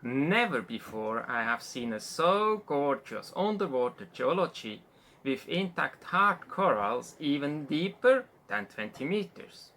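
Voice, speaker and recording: A man from Switzerland, a sailor and diver, giving a short testimonial in halting phrasing. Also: on the high side, big pitch swings, bright, forceful tone.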